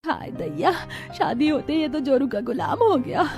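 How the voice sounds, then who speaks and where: A woman's voice: high voice, lively intonation, normal speed, over music. A woman playing a bitter, suspicious mother-in-law who taunts in a cartoon melodrama. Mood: scornful, suspicious. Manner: sarcastic, complaining.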